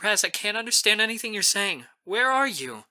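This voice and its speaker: A narrator voicing a concerned, take-charge female friend in an audiobook, with a gentle but urgent, caring manner. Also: medium pitch, measured pace, voice pushed up, lightly acted. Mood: worried.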